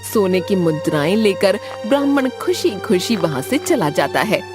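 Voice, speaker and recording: A woman narrating a cartoon story in a clear storytelling manner, over background music. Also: mid-range voice, very animated, moderate pace.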